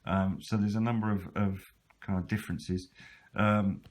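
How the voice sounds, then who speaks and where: A British man with a low-pitched voice presents a hobby video. He talks casually and without a script, as if showing viewers round a piece of kit. His delivery is halting, with frequent hesitations as he finds his words. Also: steady tone. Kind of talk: casual unscripted product walkthrough.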